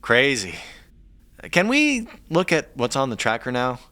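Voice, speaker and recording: Eager sidekick: lively swinging intonation, chatty, pushy, playful, naturalistic audio-drama acting. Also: male voice, mid-range voice, a little slow, heavily acted. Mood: curious, teasing. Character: eager, nosy, persistent amateur sleuth's sidekick.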